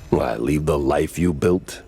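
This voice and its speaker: Casting: weary noir mouse gumshoe, American, bottom-register gruff voice, dry and streetwise, cartoon detective game. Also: male voice, a bass voice, slow, dark tone, heavily acted. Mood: accusing. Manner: wry, hard-boiled, slangy. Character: world-weary cynical mouse private investigator, war veteran. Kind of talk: 1930s noir detective acting.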